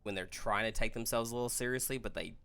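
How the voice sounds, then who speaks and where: Guy with a lowish voice, podcast cohost, measured and thoughtful, hedges a lot, casual talk. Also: gravelly, sharp tone. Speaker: podcast co-host. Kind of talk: casual discussion of films and games.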